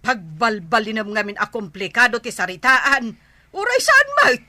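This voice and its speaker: A woman acting in a Filipino radio comedy as a long-suffering, scolding village woman with an Ilocano accent and plaintive, drawn-out exclamations. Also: fairly high pitch, very animated, pushed and bright, dry recording, exaggerated voice. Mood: exasperated, scolding.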